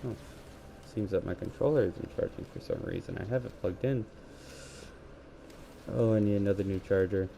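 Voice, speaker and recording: Male gamer, low mellow scratchy voice, rambling play-by-play into a headset, faint hiss behind. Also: moderate pace, gravelly, pauses often. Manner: rambling, self-correcting play-by-play. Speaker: gaming video creator. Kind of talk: let's play commentary. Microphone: gaming headset.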